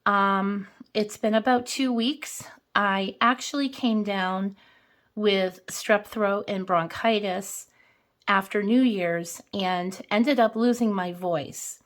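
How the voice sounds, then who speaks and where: Slow-talking hoarse woman vlogger. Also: mid-range voice, fairly even intonation, fairly bright tone. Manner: slow, chatty, counts bills aloud. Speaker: budgeting channel host. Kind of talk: casual cash-stuffing vlog.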